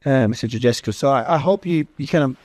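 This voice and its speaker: A man's voice: mid-range voice, fast, darkish tone, no room sound. A man from Australia, hosting an interview in a rambling, self-interrupting, enthusiastic way. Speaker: interview host. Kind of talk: conversational interview.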